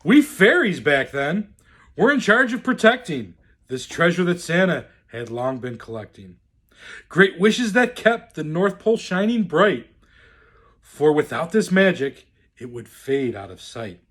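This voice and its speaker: A man reading a rhyming children's storybook aloud in a theatrical, deliberate, expressive way. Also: fairly high pitch. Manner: theatrical, deliberate, swooping delivery. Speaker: storyteller in the role of Santa.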